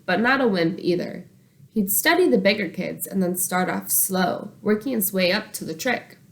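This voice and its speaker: A woman reading a children's book aloud like a teacher, expressive and animated, acting out the dialogue. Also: medium pitch.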